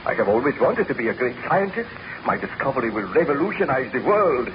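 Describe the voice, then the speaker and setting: An actor playing a fanatical, boastful young scientist in a grandiose 1930s radio drama manner. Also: male voice, medium pitch, sing-song, fairly quick, natural voice. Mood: fervent. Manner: grandiose, theatrical. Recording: muffled, noisy old radio recording.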